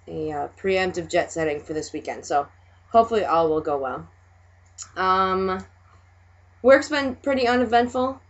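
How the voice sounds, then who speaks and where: A young woman from Minnesota with a Minnesota accent, hosting a casual video chat, chatty and laughing often between remarks. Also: fairly low pitch. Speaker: host. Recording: dull lo-fi sound.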